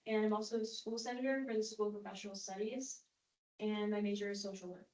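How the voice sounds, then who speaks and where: Measured young woman in an echoey room. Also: medium pitch. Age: young adult. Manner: measured, even, well-rehearsed delivery. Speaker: candidate. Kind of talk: prepared candidate statement.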